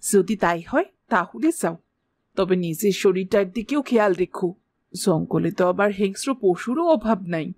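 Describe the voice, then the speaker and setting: A woman's voice: medium pitch, lively intonation, normal speed, darkish tone, no room sound. A woman acting a caring, homely cartoon village wife. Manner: homely, solicitous. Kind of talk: cartoon dialogue. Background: background music.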